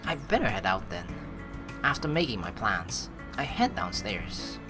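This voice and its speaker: A woman doing a game playthrough, reading aloud and commenting with expressive, dramatic reactions. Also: rumbling low, a little slow. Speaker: let's play streamer. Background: game music.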